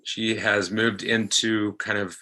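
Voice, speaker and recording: Male podcast guest, slow and searching, earnest, with a bright edge to the voice. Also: fairly low pitch, little pitch movement, a little hiss or hum. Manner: halting, searching for words, earnest. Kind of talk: reflective discussion of a podcast episode.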